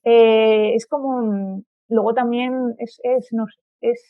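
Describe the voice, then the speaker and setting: A woman's voice: a little high, steady tone, slow pace, no room sound, narrow, tinny audio. A woman with a Castilian accent chatting casually as a guest, informal and rambling, over thin call audio. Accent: Castilian Spanish. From Spain. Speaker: podcast guest. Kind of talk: casual chat.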